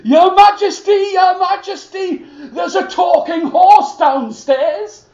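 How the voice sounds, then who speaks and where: A man voicing an excitable male soldier in a fairy tale, in a high, shouting voice. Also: very slow, heavy reverb, recorded from a distance, muffled recording, full character voice. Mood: excited.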